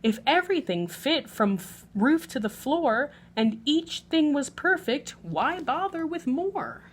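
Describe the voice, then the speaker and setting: A woman with a fairly high voice reads a children's bedtime story. Her delivery is expressive and measured, following the rhyme and lingering on the ends of lines.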